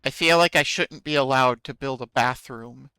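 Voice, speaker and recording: A man's voice: mid-range voice, normal speed, sharp tone, smooth, no echo. A man streaming a game, chatting casually with viewers in a musing, self-deprecating way.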